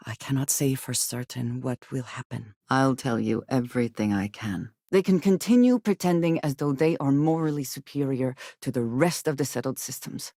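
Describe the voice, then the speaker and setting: A woman playing a guarded, earnest space explorer in a video game, speaking in measured, formal and precise phrases. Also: low voice, dark tone, no room sound, studio quality. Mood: grave, resolute. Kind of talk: video game character dialogue lines.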